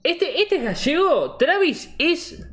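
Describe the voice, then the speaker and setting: A man's voice: very high-pitched, big pitch swings, slow, a bit bright. A man in his twenties with an Argentine accent, playfully hosting casual live-stream banter with mock formality. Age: 20s. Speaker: streamer hosting a group call. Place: bedroom at home.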